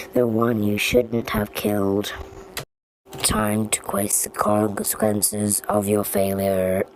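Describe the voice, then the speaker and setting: A woman voicing menacing animatronic monsters from a horror game, taunting prey slowly and theatrically in a creaky voice. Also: on the low side, slight background noise, exaggerated voice, rough recording. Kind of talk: fan recreation of horror game voice lines.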